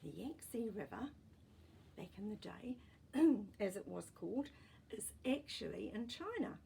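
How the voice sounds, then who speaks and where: Female storyteller for children's picture books, dark mellow timbre, mid pitch, expressive and deliberate, slight background noise. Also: vocal fry. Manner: deliberate, expressive phrasing for young listeners. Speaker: storytime host reading a children's book. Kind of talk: read-aloud children's storytelling with asides.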